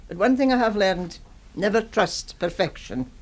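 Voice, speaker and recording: An actress playing a wry, sharp-tongued retired schoolteacher of seventy-seven in a radio drama, teasing and deliberate. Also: female voice, medium pitch, lively intonation, natural voice. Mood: dry, scathing. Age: 70s.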